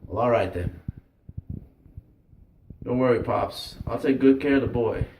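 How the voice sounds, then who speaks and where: A man's voice: medium pitch, unhurried, darkish tone, pitched below the performer's own voice, close to an everyday voice. Man playing a hearty old-hand fisherman, echoey room. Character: veteran spear fisherman, gruff, hearty mentor. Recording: roomy, echoey, distant mic, faint noise.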